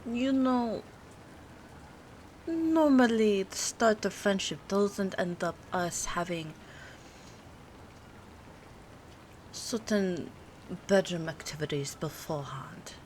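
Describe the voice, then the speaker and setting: Girl acting as a haughty but secretly fond vampire lady, asmr roleplay, soft n breathy, really slow with pauses, bit of background ambience. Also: medium pitch, natural voice. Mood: reluctantly warming. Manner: formal, hesitant, haughty phrasing with drawn-out pauses. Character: aloof, teasing female vampire, old, secretly fond. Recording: clear background ambience noise. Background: rain, city ambience, soft music.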